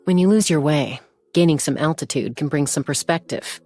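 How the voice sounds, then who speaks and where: A woman voicing a confident young female gunslinger and peacemaker in a video game, with a cool, measured, self-assured delivery. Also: low voice, warm tone, faint music behind. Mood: calm, wry. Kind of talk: scripted game character voice lines.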